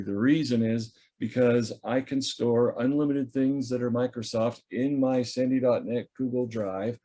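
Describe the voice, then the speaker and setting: A man teaching staff a software tool over a video call, in a relaxed, chatty way with many fillers as he thinks aloud. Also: mid-range voice. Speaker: instructor. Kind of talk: screen-share software training. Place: video call from a home or office. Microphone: computer or headset mic on a video call.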